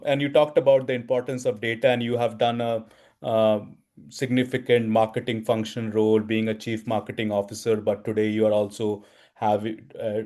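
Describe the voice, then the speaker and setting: A man's voice: fairly low pitch, warm tone. Polite man hosting a webcam interview. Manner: polite, formal questions with many "uh" fillers. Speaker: interview host, book co-author. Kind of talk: conversational video-call interview. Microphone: video call, webcam.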